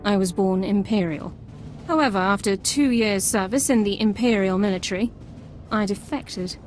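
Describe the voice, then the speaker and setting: A woman's voice: mid-range voice, normal speed, lightly acted. An actress playing a strict, formal female sergeant who speaks in clipped, precise military terms. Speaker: squad sergeant. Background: music.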